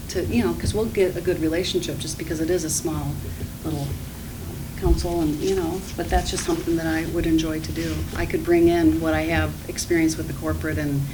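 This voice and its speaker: Lady interviewing for a job, lowish voice, rambling and earnest, far from the mic with a noisy background. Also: steady tone, moderate pace. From mid-Michigan. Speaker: job candidate. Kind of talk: interview answers. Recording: distant mic, clear background noise.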